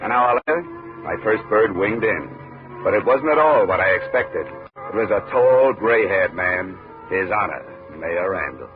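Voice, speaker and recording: Male actor as hard-boiled American investigator, low voice, clipped and commanding, wry, vintage radio drama, muffled old recording. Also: animated, normal speed, music in the background, lightly acted. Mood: wry, matter-of-fact. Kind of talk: 1950s radio detective acting, first-person narration.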